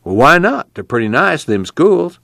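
A man's voice: on the high side, big pitch swings, normal speed, gravelly, fairly bright tone, no room sound, studio quality, voice pushed up, heavily acted. A man voicing an anxious, poor middle-aged farming father with a pleading, folksy rural drawl.

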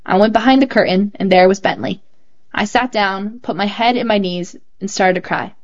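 A woman reading a child's essay aloud as scripted narration, in a clear and measured storytelling manner. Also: medium pitch, muffled recording. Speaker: host reading children's stories.

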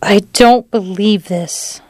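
A man's voice: high-pitched, slow pace, dry recording, voice pushed up. A man voicing an anxious young New York woman, polite but indignant, in an audiobook story. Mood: indignant disbelief.

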